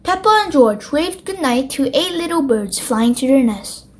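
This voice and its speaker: A woman with a very high-pitched voice narrates a children's storybook. Her read-aloud delivery is expressive and aimed at young listeners. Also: moderate pace.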